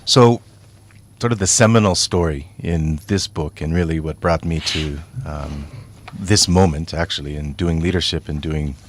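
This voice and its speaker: A man speaking as an author guest on a radio interview, thoughtful and measured, with frequent pauses. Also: a bass voice, a little hiss or hum. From United States.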